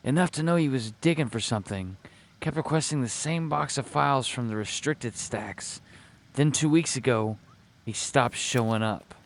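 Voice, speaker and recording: Man acting a nervous, higher-pitched records clerk. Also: normal speed, slight background noise, lightly acted. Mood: nervous, secretive. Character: nervous male night-shift records clerk, furtive informant.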